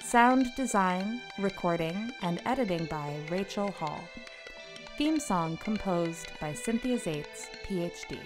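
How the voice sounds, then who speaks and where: Announcer: woman, unhurried scripted read of end credits over soft music. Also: fairly low pitch, expressive. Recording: faint music behind voice.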